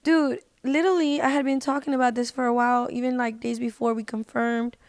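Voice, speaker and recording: A woman's voice: a little high, little pitch movement, fairly quick, darkish tone, dry recording, studio quality. A woman telling personal stories casually as a podcast guest, speaking in an informal, slangy way and repeating words for emphasis. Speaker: podcast guest, independent singer. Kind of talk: casual personal storytelling in an interview.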